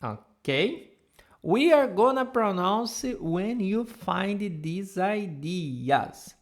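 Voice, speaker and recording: A woman's voice: medium pitch, big pitch swings, extremely slow. A Brazilian woman with a Brazilian accent teaching Portuguese in a live online lesson, patiently repeating sounds for students to copy. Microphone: camera mic.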